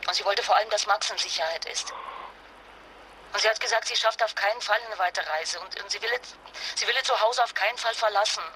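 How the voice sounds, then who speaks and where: An actress playing an anxious but determined wife and mother in a naturalistic radio drama, speaking haltingly and with emotion. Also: mid-range voice, sharp tone, a little hiss or hum, close to an everyday voice, rough recording. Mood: distressed, apologetic.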